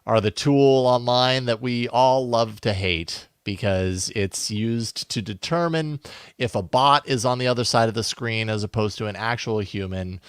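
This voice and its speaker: A man's voice: mid-range voice, no echo, studio quality. A man with an American accent co-hosting a tech podcast, talking in a casual, musing, conversational way. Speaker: podcast host.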